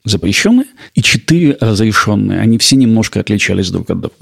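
A man's voice: lowish voice, big pitch swings, fast, gravelly, breathy edge. A man hosting a history podcast, narrating in an explanatory way with rhetorical questions. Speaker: podcast host.

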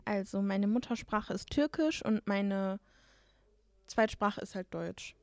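A woman's voice: medium pitch, slow pace, dry recording. Young woman chatting casually. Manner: casual, filler-heavy, talks with gestures. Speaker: podcast co-host. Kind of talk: casual chat.

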